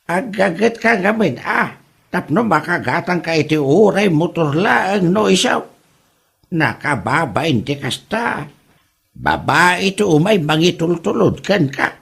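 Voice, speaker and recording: A man's voice: a little high, very animated, measured pace, gravelly, a bit bright, slightly rough, exaggerated voice. An actor playing a suspicious, scolding old father exasperated with his idle son in an Ilocano comedy drama.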